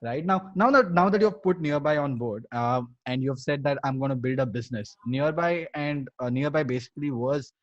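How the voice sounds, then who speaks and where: A man's voice: mid-range voice, a little fast, few pauses. A young man with an Indian accent hosting a podcast in a casual, enthusiastic, rambling way, on slightly dull audio. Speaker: podcast host. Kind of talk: casual conversational interviewing.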